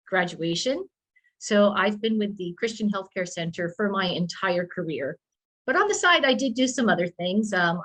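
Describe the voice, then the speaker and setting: A woman in her fifties speaks at a middling pitch in a steady, plainspoken way. She sounds like a video call from a small office. She introduces herself as a new wellness coordinator. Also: poor audio.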